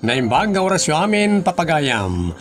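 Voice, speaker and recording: A man's voice: a little high, very animated, moderate pace, loud music behind the voice. A man hosting and introducing a radio-style comedy drama in a sing-song announcing manner. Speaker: narrator and channel host.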